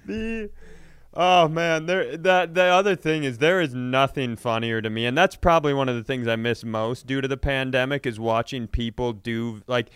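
A man's voice: medium pitch, moderate pace, a bit bright, clear, resonant tone, dry recording, studio quality. A man hosting a comedy podcast, telling sardonic, profane stories in casual banter.

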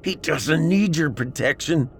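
Horror story heroine played by a man: high-pitched, angry, terrified, urgent pleading. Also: animated, slow, a little hiss or hum, heavily acted. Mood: angry, frightened. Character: frantic, angry young woman pleading in terror. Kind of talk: horror audiobook character acting.

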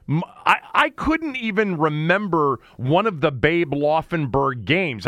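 An American man hosting a casual sports interview, talking in a halting, rambling way that often interrupts itself. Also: a little high, a bit bright, no room sound, clean studio sound. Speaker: sports radio and podcast host.

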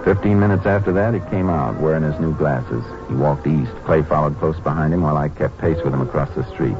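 A tough, unhurried Texas lawman with a deep, low voice. He speaks in a laconic, folksy way, in the manner of 1950s radio drama. The sound is a muffled old broadcast with music around it. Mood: steady narration. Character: tough, calm male Texas Ranger posing as a drifter. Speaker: lead actor and narrator. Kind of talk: 1950s western radio drama acting and narration.

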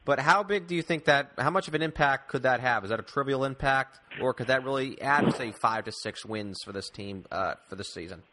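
A man's voice: mid-range voice, fairly quick, hardly any pauses, pushed and bright. A man hosting a casual sports discussion in a conversational way. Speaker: sports podcast host.

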